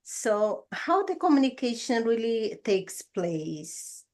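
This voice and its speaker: A woman's voice: on the high side, sing-song, slow pace, a little mellow. A woman from Brazil with a Brazilian Portuguese accent, hosting an interview on her channel.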